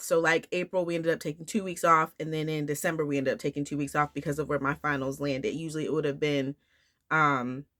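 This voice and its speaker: A woman's voice: fairly low pitch, pushed and bright. A woman vlogging casually about homeschooling, a bit nasal as she recovers from the flu, rambling with fillers and restarts. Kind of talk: casual talk to camera.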